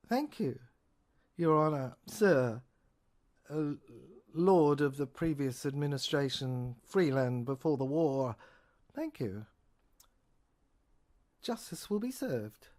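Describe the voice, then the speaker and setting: A woman performing a dramatic solo reading of a courtroom-style argument, speaking in a halting, repetitive and argumentative way. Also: low-pitched, sing-song, a little mellow.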